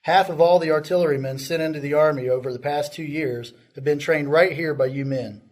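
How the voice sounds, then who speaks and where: A man's voice: on the high side, fairly quick, darkish tone, close to an everyday voice. An amateur actor playing an earnest army commander addressing his troops in a radio drama. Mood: rousing, earnest.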